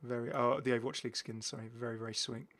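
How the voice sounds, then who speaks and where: Give this a man with a bright, forward voice doing casual gaming commentary. He is chatty and rambles along, often doubling back to repeat a phrase. His pitch sits in the middle for a man. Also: flat delivery, racing. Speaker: gaming video host. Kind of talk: casual commentary on game cosmetics.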